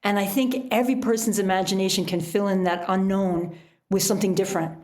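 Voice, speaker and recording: A woman with a medium-pitched voice talks as a guest writer in an interview. Her delivery is casual and conversational, with an animated way of telling stories. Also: a little fast. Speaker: podcast guest, adventure writer.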